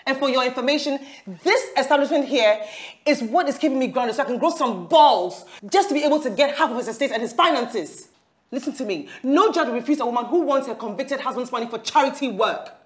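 An actress playing a defiant, calculating wife and mother in a screen drama, arguing with a sharp tongue. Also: high voice, expressive, fairly quick. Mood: defiant, resolute.

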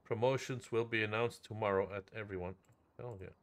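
A man's voice: on the low side, steady tone, fairly quick. A man chatting casually while streaming a game, swearing freely and repeating phrases playfully. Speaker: live streamer playing a roleplay game.

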